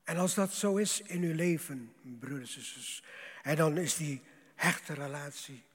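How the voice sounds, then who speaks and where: A man preaching a sermon to a congregation in a dramatic, emphatic way, repeating phrases, with a husky voice. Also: a little high, a little slow. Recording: echoing hall, long reverb.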